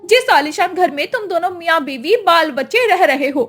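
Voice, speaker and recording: A woman's voice: very high-pitched, lively intonation, normal speed, fairly bright tone, soft background music. A woman voicing a spiteful, domineering wife in a cartoon story, with a scolding, sneering manner. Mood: scornful. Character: spiteful, domineering elder sister-in-law, mean rich wife.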